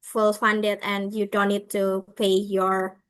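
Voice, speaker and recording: Slow clear-voiced lady explaining stuff on an online q&a, kinda even tone, halting non-native english. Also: mid-range voice. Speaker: organizer answering questions. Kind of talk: informal explanation in an online session.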